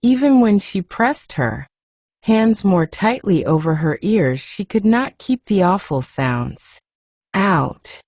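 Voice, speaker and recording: Soft woman storyteller, slow bedtime reading, warm mellow tone, dry close mic. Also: medium pitch, animated, no room sound. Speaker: audiobook reader.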